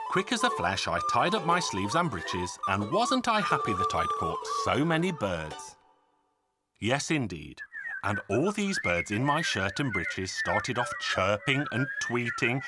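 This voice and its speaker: Cartoon folk-tale narrator: boastful tall-tale hero, earnest and theatrical, wildly varied intonation, music underneath. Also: male voice, mid-range voice. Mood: lively, boastful. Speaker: storyteller. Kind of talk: animated folk-tale storytelling.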